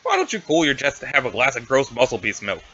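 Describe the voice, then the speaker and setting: A man's voice: mid-range voice, huge pitch swings, quick pace, bright, forceful tone, muffled recording, slight background noise, exaggerated voice. A man voicing a cocky, sarcastic young female alien schemer with snarky, bossy talk in an amateur comic reading. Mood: mock innocent. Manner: snarky, bossy, casual needling.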